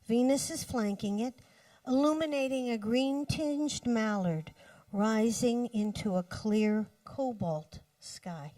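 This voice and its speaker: Slow, high-voiced woman reading poetry. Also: warm tone, dry recording. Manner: measured, deliberate phrasing with pauses. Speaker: poet reading own poems. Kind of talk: live poetry reading with brief spoken introductions. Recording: distant microphone at live reading.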